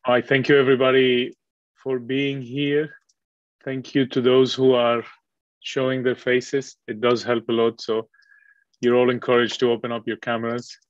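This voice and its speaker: A man who grew up in Colombia, giving a relaxed online guest lecture over a video call with many fillers and restarts. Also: mid-range voice, normal speed, no echo. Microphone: video call microphone. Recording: dull, dry video call audio.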